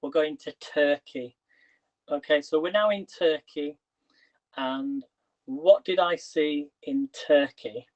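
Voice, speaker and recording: A slightly high-voiced man chats casually to viewers while tidying his kitchen, his voice wearing thin. He rambles and restarts his questions. The sound is dull and lo-fi, like livestream audio. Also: takes it slowly, a little mellow. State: losing his voice. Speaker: livestream host. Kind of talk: casual live-stream chat.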